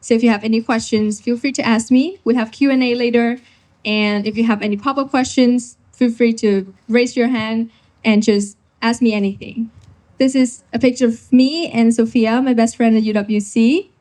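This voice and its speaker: A nineteen-year-old woman presents herself to a workshop crowd. Her voice sits a little high. She talks in a quick, informal way. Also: fairly even intonation, a little mellow. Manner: quick, informal self-introduction. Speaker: workshop speaker. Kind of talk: presenting to a live audience.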